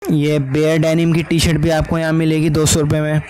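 A man's voice: a little high, monotone, fairly quick, crowd noise. A man in his twenties narrating a casual vlog tour as a voice-over, addressing viewers as friends. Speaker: vlogger. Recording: background music under the voice. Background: music.

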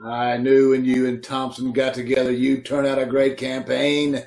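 An actor playing a pompous, sententious company boss who talks like a copybook in an old-time radio comedy, recorded over a video call. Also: male voice, medium pitch, flat delivery, moderate pace, gravelly, darkish tone, close to an everyday voice. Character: pompous, proverb-spouting male company boss.

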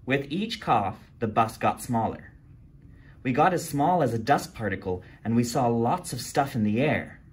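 A man reading a children's picture book aloud, jumping to a higher voice for the characters' lines. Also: unhurried, breathy edge. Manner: animated read-aloud, jumps high for character quotes. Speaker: storytime reader.

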